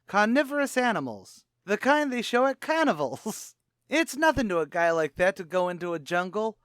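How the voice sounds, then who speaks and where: A man's voice: high voice, a bit bright, clean tone, no room sound, clean studio sound. A man playing a brash, slangy, bluffing tavern manager who wisecracks in an old-time radio comedy. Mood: wisecracking. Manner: wisecracking, mock-grandiose.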